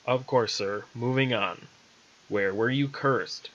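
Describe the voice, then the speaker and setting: A man's voice: mid-range voice, slow, a bit bright, lo-fi sound. A man voicing a talking raven, a witch's dry and formal business associate, in a read-aloud story. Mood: businesslike.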